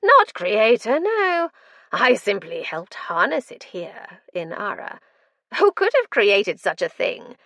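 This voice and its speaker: A man's voice: high-pitched, wildly swooping pitch, takes it slowly, gravelly, bright, forceful tone, dry recording, exaggerated voice. A woman voicing a mad male prisoner in his forties who is gleeful, manic and given to sudden whispers. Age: 40s.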